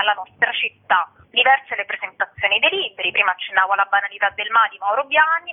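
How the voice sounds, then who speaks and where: A woman being interviewed as an event organiser, presenting a programme. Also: fairly high pitch. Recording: tinny phone line.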